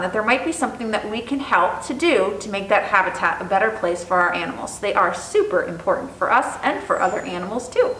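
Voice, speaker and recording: In an echoey room, a woman speaks some way from the mic. Her voice swings in pitch as she teaches and reads to children in simple, expressive language. There is a faint noise behind her. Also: recorded from a distance. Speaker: zoo educator, storytime host. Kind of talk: educational talk and picture book read aloud for children.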